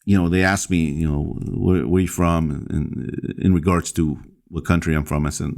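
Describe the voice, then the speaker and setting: A man from El Salvador, a pastor, recounting his story as a podcast guest in a halting way, with many fillers and restarts. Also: sits low, steady tone, a little mellow.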